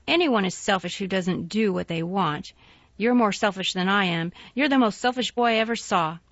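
A woman's voice: medium pitch, expressive, moderate pace, a bit bright, dry recording. A woman voicing an obstinate, sour little girl in a storybook reading, speaking sharply and stubbornly. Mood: defiant. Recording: dull, dry, lo-fi sound.

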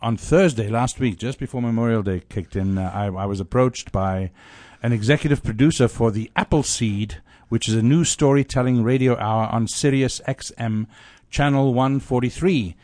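A man's voice: mid-range voice, moderate pace, few pauses, a little mellow, dry recording. A man hosting a community radio show, chatting casually on air. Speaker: radio show host and storyteller. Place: radio studio.

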